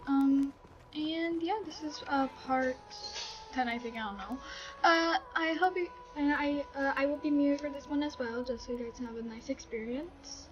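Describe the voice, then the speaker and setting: High voiced girl rambling an intro to her game vid, kinda dull lo-fi mic, game music loud behind. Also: moderate pace. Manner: hesitant, rambling with fillers. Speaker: gameplay streamer. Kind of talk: casual chat.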